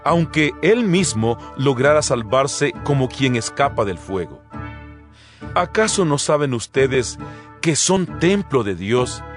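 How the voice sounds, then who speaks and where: An actor playing the earnest, exhorting apostle Paul in a dramatized Bible reading, solemn and measured, with rhetorical delivery. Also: male voice, mid-range voice, big pitch swings. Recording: music bed behind the voice.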